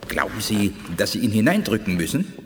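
A cautious alien scholar. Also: male voice, fairly low pitch, very animated, a little hiss or hum. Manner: measured, cautioning. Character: cautious male alien scholar, measured and warning. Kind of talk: 1980s radio drama.

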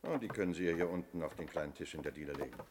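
Actor as a low-voiced, probing police inspector. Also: male voice, background noise, natural voice. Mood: calm, wry. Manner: measured, official, questioning. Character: probing, persistent male police inspector, courteous but insistent. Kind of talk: German radio play acting.

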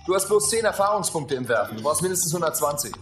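An actor playing a brash young athlete and gamer in a German radio drama, slangy and impatient. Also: male voice, on the high side, big pitch swings, fast. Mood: impatient, excited. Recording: roomy, music underneath.

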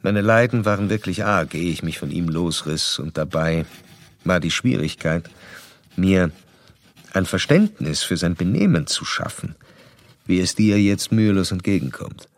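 An actor playing a middle-aged Viennese psychoanalyst in a radio play, formal, measured and self-assured, with a gravelly voice. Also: male voice, deep voice, animated, no echo. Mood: reflective, literary. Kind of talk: German radio play acting, letter reading and dialogue.

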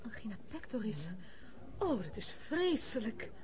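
A woman's voice: on the high side, big pitch swings, a little slow, creaky, crowd noise, exaggerated voice. An actress playing a naive, curious society lady in a radio play, easily shocked. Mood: shocked curiosity.

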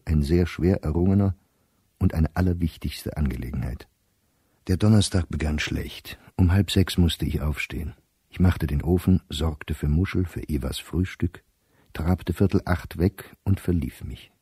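Deep, dark-voiced diarist, very dry sound. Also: male voice, slightly rough, dry recording. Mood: relieved, earnest. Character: anxious middle-aged male diarist reading his diary. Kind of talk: dramatic reading of a diary for radio.

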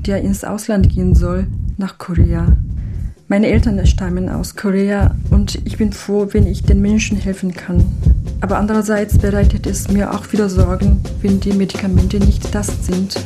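A woman's voice: mid-range voice, flat delivery, normal speed, lightly acted. Worried woman's confession, acted, earnest, confiding, restrained intonation, radio drama monologue, prominent music behind. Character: anxious, conscience-stricken woman reading out her confessional letter. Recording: voice over loud music. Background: loud music.

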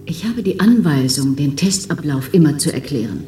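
Mellow female voice, cool dutiful examiner, radio drama, echoing room. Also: low voice, expressive, normal speed, slight background noise. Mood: businesslike. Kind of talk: German radio play acting. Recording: roomy, echoing studio sound.

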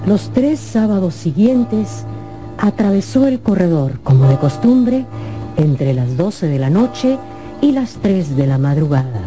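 A woman narrating a radio drama as a scripted story, with theatrical, deliberate phrasing. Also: on the low side, sing-song, mellow. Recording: music behind.

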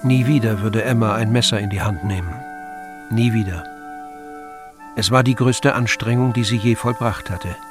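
A man's voice: lowish voice, moderate pace. Male storyteller over prominent music. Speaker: audiobook narrator. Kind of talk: scripted literary narration.

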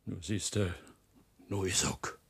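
An actor playing a gruff Silesian factory foreman with a soft side, speaking thick, folksy German dialect in a radio drama. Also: sits low, slow pace, full character voice. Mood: gentle, confiding.

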